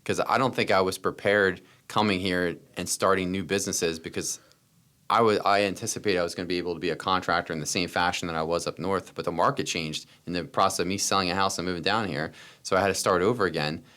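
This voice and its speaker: A man's voice: low-pitched, steady tone, quick pace, pushed and bright. An American man telling personal stories as a podcast interview guest, earnest and repeating phrases for emphasis. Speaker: interview guest, startup founder.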